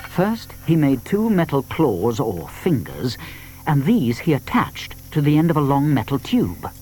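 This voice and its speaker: A man with a British accent narrating a scripted children's story in an expressive storytelling manner. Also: medium pitch, unhurried, slight background noise.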